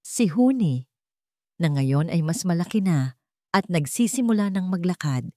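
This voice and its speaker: Low-voiced woman narrating a dramatic story. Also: lively intonation, a little slow, dark tone, dry recording, studio quality.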